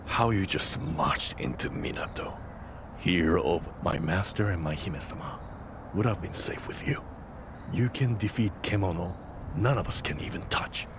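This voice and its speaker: An actor playing a proud, wounded samurai general burdened by guilt, speaking earnestly and dramatically in a video game cutscene. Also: male voice, sits low, normal speed. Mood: bitter, confessional. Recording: clear background noise.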